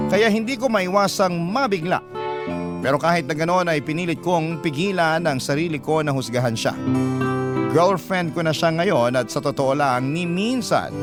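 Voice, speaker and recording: A man's voice: fairly high pitch, lightly acted. An actor playing a young boyfriend who confides his love story as scripted radio drama narration, earnest and reflective, with a Filipino accent. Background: loud guitar music.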